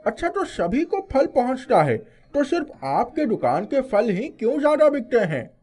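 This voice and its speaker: A man's voice: very high voice, big pitch swings, normal speed, a little mellow, heavily acted. A man playing an inquisitive village customer in a storybook cartoon, asking questions in a nosy, exaggerated way. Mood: curious, probing.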